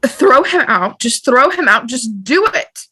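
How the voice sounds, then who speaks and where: A woman playing a shrill, accusing wife in a panicked mob, in an amateur drama read over a video call. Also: very animated, a little slow, sharp tone, husky, full character voice, rough recording. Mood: panicked, urging.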